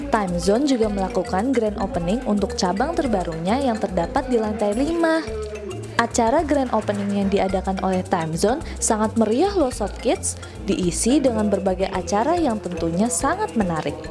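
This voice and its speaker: Woman, kids' show narrator, upbeat chatty voice-over, medium pitch, background music clearly audible. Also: expressive, moderate pace. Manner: upbeat and chatty, speaking directly to young viewers. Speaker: narrator of a children's entertainment news show.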